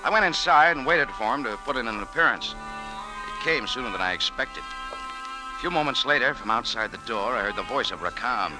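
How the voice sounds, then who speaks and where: An actor playing a tough, wisecracking American café owner and adventurer, clipped and sardonic, in old-time radio style. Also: male voice, mid-range voice, animated, brisk, music in the background, lightly acted, poor audio. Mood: tense narration. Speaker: lead actor and narrator. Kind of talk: old-time radio detective acting and narration.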